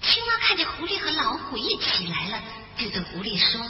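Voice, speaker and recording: A woman telling a children's fable as an animated bedtime storyteller. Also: on the high side, brisk. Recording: echoey long reverb, muffled, music behind.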